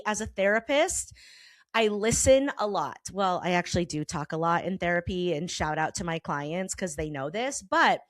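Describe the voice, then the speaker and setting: Hoarse-ish 40s woman doing her podcast, candid, says y'all, swears a bit, mid pitch, dry sound. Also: fairly bright tone, dry recording. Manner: candid, emphatic, uses y'all and casual swearing. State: slightly hoarse. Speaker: podcast host and therapist. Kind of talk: personal podcast monologue. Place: Colorado.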